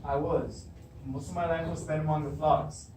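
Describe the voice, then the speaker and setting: A man's voice: on the high side, steady tone, moderate pace, darkish tone, lightly acted. A man acting a frightened, reluctant old shepherd in an amateur stage reading, evasive and pleading. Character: frightened, reluctant old male shepherd, once a king's servant. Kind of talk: beginning drama class readers theatre. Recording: echoey room, distant mic, faint noise.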